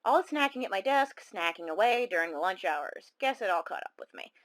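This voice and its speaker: Female actor, horror radio drama caller, medium pitch, rueful, self-conscious, confiding, hesitant, conversational monologue. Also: expressive, fairly quick, a bit bright, close to an everyday voice.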